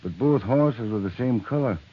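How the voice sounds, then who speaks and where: An actor playing a plain-spoken, wary trail rider in an old radio western, matter-of-fact in manner. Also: male voice, mid-range voice, lively intonation, normal speed, close to an everyday voice. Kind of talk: 1950s radio western acting. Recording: muffled old radio recording.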